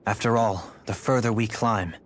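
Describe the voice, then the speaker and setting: A man's voice: fairly low pitch, moderate pace, a little hiss or hum, natural voice. A man playing an urbane, analytical nobleman mage in a video game, with formal, wry, articulate speech. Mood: grim, wry. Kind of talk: video game cutscene acting.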